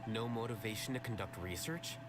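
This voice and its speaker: An actor playing a blunt, aloof acting grand sage in a video game, with a measured way of talking. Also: male voice, fairly low pitch, very noisy, lightly acted. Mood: cool, probing.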